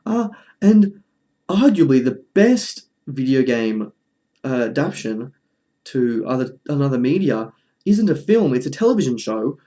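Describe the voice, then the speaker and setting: A man's voice: mid-range voice, very animated, unhurried, mellow. A man presenting casual film commentary in an informal, chatty, slightly wry way. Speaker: presenter of a film review video essay.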